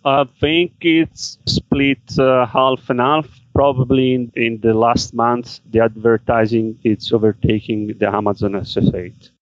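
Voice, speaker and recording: A man answers questions in halting, careful English. The audio is dull and muffled, like a remote call, and very dry. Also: medium pitch, fairly even intonation, no room sound. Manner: halting non-native English with fillers. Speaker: guest, business seller. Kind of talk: interview answers.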